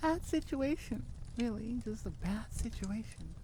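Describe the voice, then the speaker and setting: Woman host, mellow tone, casual, playful, rambling chat, faint background noise. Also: fairly high pitch, very animated, a little slow. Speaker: audio roleplay creator and host.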